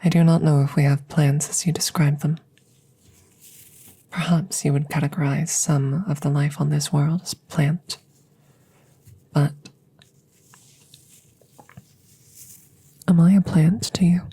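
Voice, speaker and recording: A woman voicing a calm, curious plant-like alien scientist in an ASMR audio roleplay, whispering softly and close with precise, formal, detached phrasing. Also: sits low, a little slow, long pauses, full character voice. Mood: musing, curious. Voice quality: soft, breathy whispering. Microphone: close microphone.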